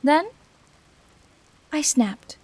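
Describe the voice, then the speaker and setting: A woman playing a bitter, protective fallen hero turned villain in an emotional confessional audio roleplay, speaking deliberately with dramatic pauses. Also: high-pitched, big pitch swings, a little mellow, full character voice. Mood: pained, impassioned. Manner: deliberate, dramatic, pausing between short phrases.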